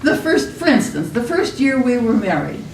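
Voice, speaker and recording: A woman playing a polite schoolteacher with drawn-out, falsely sweet manners in an amateur comedy skit. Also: fairly high pitch, sing-song, a little hiss or hum. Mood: demure, sly. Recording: roomy, distant live stage recording.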